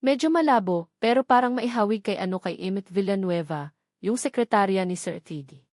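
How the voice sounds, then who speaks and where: A woman's voice: mid-range voice, lively intonation, fairly quick, a little mellow, no room sound, clean studio sound, close to an everyday voice. A woman doing a cocky young salesman. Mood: boastful. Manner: boastful, casual.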